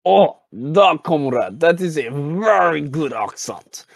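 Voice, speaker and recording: A high-pitched Russian poet comrade as a man puts him on, pompous and full of mock grandeur. He speaks with a put-on Russian accent, halting and repeating himself in improvised comic roleplay, in a dry, close recording. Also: animated, creaky, no echo, heavily acted. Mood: mock-grave.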